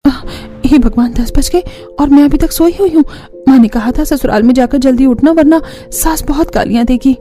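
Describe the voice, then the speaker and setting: A woman's voice: high voice, normal speed, mellow, soft background music, lightly acted. A woman playing a timid, anxious young bride in a cartoon family story, apologetic and worried in manner. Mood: panicked. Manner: apologetic, worried, wide-eyed.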